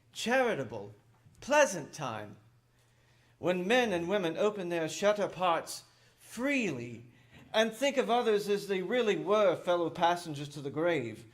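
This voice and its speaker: An actor voicing a good-natured, earnest young nephew in a theatrical radio play. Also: male voice, high voice, fairly bright tone, exaggerated voice. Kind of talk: theatrical radio drama acting.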